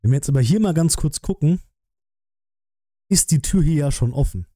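A man's voice: on the high side, expressive, normal speed, dark tone, dry recording. A man, giving casual live-stream commentary in a colloquial, musing way, close on the mic. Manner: musing, colloquial, thinking aloud. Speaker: streamer reacting to a game trailer.

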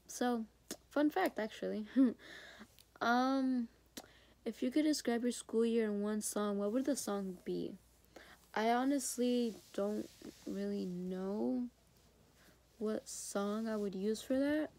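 A sick teenage girl with a hoarse voice, talking hesitantly to her phone camera in her bedroom for a school video diary. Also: slow, long pauses. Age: teens. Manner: hesitant, many ums, soft and low-key. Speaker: high school student. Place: bedroom indoors. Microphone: phone or laptop camera at arm's length.

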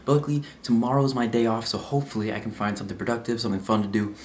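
A man's voice: mid-range voice, rapid-fire, slight background noise. A young adult man chatting casually to his vlog audience in an informal, slangy way. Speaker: vlogger. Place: rooms at home, car, outdoors.

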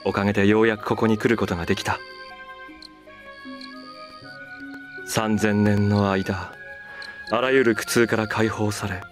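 A man acting a low-voiced, wistful ancient master. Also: flat delivery, lightly acted. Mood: solemn, reflective. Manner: measured, soft-spoken, reflective. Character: serene, weary ancient master, gentle and wistful. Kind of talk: dramatic game cutscene acting. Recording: game music behind the voice.

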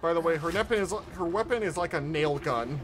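A man's voice: a little high, brisk. Casual male streamer, faint background noise. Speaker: streamer reacting to game trailers. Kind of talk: casual chat, live reaction commentary.

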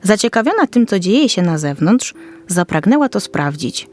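A woman's voice: medium pitch, sing-song, moderate pace, darkish tone, faint music behind. Woman narrating a children's fairy tale. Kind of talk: scripted children's story narration.

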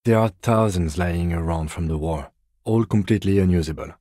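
An actor playing a composed, weary police detective in a video game, with dry, deadpan, measured delivery. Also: male voice, sits low, dry recording. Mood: dry, restrained.

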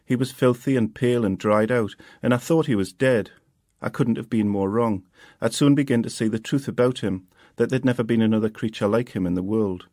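Male author reading his novel, steady, dry. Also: mid-range voice, fairly quick, no echo. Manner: quiet, steady first-person storytelling. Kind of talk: audiobook reading.

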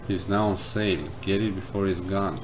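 A man commenting casually on a game as he plays, reading the game text aloud and thinking out loud. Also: sits low, steady tone, moderate pace, noisy background. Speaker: gameplay streamer. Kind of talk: casual let's-play commentary. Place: indoors at a computer. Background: game music.

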